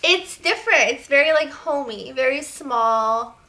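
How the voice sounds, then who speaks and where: A woman's voice: sits high, animated, unhurried, bright, forceful tone. A young woman from Serbia with no accent, a guest chatting casually and filling her talk with "like". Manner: chatty, filler-heavy, lots of "like". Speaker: guest, model. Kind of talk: casual interview chat.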